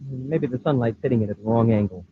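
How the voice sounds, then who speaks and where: A man playing a boyish, eager space cadet sidekick, excitable and deferential, in 1950s radio serial style. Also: medium pitch, fast, a little hiss or hum, natural voice. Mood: puzzled. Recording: tinny, band-limited old radio recording.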